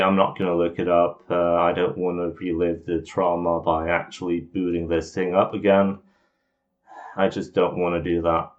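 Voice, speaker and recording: Low-voiced man, muffled review voice-over. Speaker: video game reviewer. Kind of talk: informal scripted review voice-over. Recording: muffled lo-fi home voice recording.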